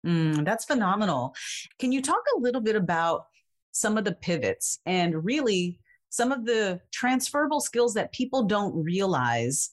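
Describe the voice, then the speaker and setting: A woman's voice: mid-range voice, big pitch swings, normal speed. A woman hosting an interview, a career coach who asks long questions and affirms often. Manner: encouraging, frequent affirming backchannels. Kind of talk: casual interview conversation.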